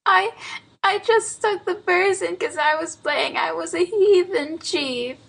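A woman's voice: fairly high pitch, measured pace, a bit bright, breathy edge. A woman voicing a mischievous, earnest little boy in a storybook, with childish slang and plaintive reasoning. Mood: sobbing, plaintive. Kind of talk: storybook character dialogue.

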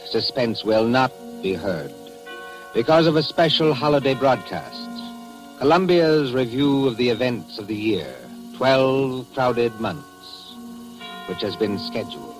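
A man giving a formal, measured, scripted announcement in the style of a 1940s radio host. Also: mid-range voice, low-quality recording. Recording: 1940s radio broadcast recording.

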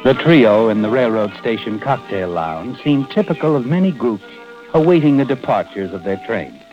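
An actor playing a sinister, all-knowing narrator, ominous and insinuating, in 1940s radio mystery style. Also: male voice, mid-range voice, lively intonation, moderate pace, close to an everyday voice. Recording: dull old radio recording, music behind.

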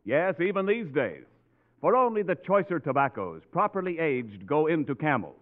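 A man's voice: fairly high pitch, big pitch swings, moderate pace. An American man announcing in an emphatic, polished, declamatory 1940s radio commercial style. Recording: tinny old radio recording with music.

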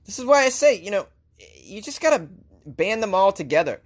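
Man, Boston accent, talk radio host, sarcastic news rant, voice a bit high. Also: sing-song, brisk, slightly rough. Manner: sarcastic, ranting, laughs often. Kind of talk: opinionated news commentary.

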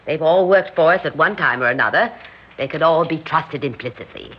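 An actress playing a commanding elderly hostess and conspirator in an old radio drama, speaking with deliberate, gracious authority. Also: female voice, low-pitched, expressive. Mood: grave, deliberate. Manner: imperious, deliberate, theatrically gracious. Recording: muffled, noisy old radio recording.

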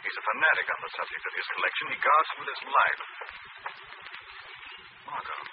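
An actor playing a composed, urbane gentleman sleuth in an old-time radio drama. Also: mid-range voice, very animated, a little fast, creaky. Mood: intent. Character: suave, inquisitive gentleman sleuth, composed adult man. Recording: tinny, noisy vintage radio recording.

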